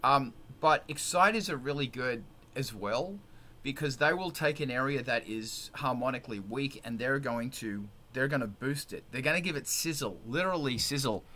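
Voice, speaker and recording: Male panel host, emphatic and lively, bright forceful tone, faint background noise. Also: mid-range voice, moderate pace. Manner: enthusiastic, emphatic, repeats words for stress. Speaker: host of a music production panel. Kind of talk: casual panel discussion.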